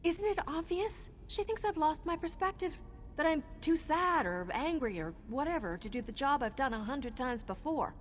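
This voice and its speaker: A woman's voice: high voice, animated, normal speed, slight background noise. A woman voicing an embittered, restless assassin in a fantasy game, sardonic and emotional. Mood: frustrated, defensive. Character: embittered, restless, reckless female assassin, defensive and proud.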